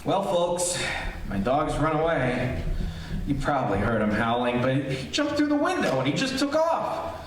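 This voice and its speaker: Heard from far off in a very echoey space, a man acts out a nervous reporter in an old-time radio horror play. His voice is fairly high and swings about in pitch as he confides haltingly in his listeners. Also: big pitch swings. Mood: uneasy. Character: nervous male radio reporter in a haunted house. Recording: echoey distant stage recording, faint noise.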